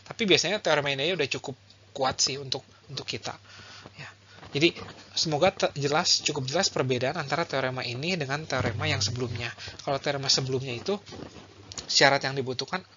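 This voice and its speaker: A young man giving a relaxed math lecture over slides, thinking aloud with fillers and quick self-corrections. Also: medium pitch, highs cut off, slight background noise.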